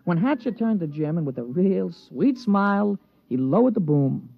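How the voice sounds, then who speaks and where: Opinionated, chatty caddy, lightly high-pitched, wisecracking, vintage radio drama with a dull sound. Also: male voice, sing-song, moderate pace, creaky, soft background music, heavily acted. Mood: chatty, wry. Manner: colloquial, folksy wisecracking. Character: chatty, opinionated male golf caddy, plain-spoken storyteller. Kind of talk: 1950s radio drama narration and dialogue. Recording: muffled vintage radio recording.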